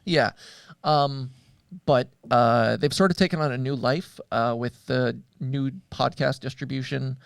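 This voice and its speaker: A man hosting a podcast in a casual, conversational way, with halting, hesitant phrasing. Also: mid-range voice, steady tone, vocal fry, clean tone, no echo, clean studio sound. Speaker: podcast host.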